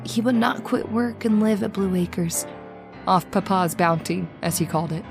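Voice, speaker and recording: An actress playing a devoted young married daughter in an old-time radio horror drama, with an earnest, melodramatic delivery. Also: female voice, medium pitch, normal speed, gravelly, over music, lightly acted. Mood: sorrowful, haunted.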